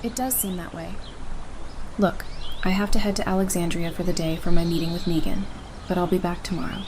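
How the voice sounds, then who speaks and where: Woman acting caring survivor, lowish voice. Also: steady tone, brisk, close to an everyday voice. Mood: understanding. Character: caring, steady woman survivor, loyal friend. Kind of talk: audio drama acting. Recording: clearly noisy outdoor ambience behind voice.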